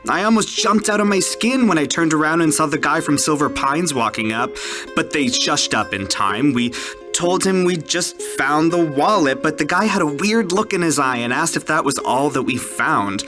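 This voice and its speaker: A man plays an earnest young camp counselor with a fairly high, boyish voice. He is jumpy and casual as he tells his story. Music is clearly heard under this horror podcast monologue. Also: expressive, moderate pace, close to an everyday voice. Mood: uneasy, annoyed.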